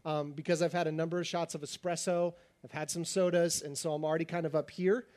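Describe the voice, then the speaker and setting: Fast-talking high-voiced male pastor, slightly raspy. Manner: fast-talking, animated preacher. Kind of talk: sermon.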